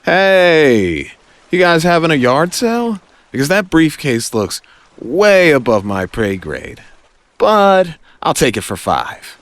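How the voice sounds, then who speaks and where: A man's voice: on the high side, sing-song, takes it slowly, fairly bright tone, no room sound, voice pushed up, heavily acted. A man playing a cocky, wisecracking young superhero in an audio comic, with a quippy manner. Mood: playful taunting. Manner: quippy, teasing. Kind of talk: audio comic hero acting.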